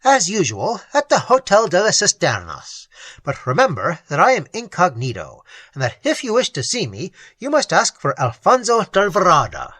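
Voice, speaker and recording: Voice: mid-range voice, huge pitch swings, normal speed, a bit bright, slightly rough, dry recording, full character voice. A reader voicing a proud, formal young nobleman in an audiobook. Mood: commanding. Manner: formal, commanding.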